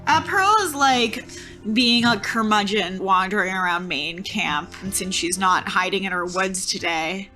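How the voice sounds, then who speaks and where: A woman's voice: medium pitch, a little slow, bright, forceful tone, soft background music, low-quality recording. A woman playing a tabletop role-playing game, telling the story in a casual, improvised way.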